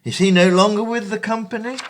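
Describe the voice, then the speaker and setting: An actor playing a distinguished, bookish gentleman of the 1820s who hesitates often and speaks in a grand, fussy way. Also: high voice, steady tone, fairly quick, a little hiss or hum. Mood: courteous. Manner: hesitant, full of ums and uhs, fussy and grand. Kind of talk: amateur stage play audio.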